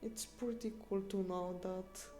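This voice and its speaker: A woman streamer chatting casually with her viewers, speaking halting non-native English with frequent restarts while she searches for words. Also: medium pitch, fairly even intonation, mellow, faint music behind. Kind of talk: casual live-stream chat.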